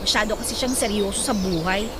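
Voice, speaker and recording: A woman's voice: medium pitch, fast, background noise, lightly acted. A woman playing a cheerful, cheeky young singer chatting respectfully with her mother in a Tagalog radio drama. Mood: exasperated, teasing. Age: young adult. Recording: loud music bed behind the voice.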